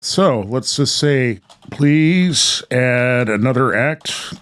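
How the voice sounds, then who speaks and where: A man's voice: mid-range voice, expressive, very slow, fairly bright tone, clear, resonant tone. A man hosting a show, narrating a radio play and talking casually. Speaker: host and narrator.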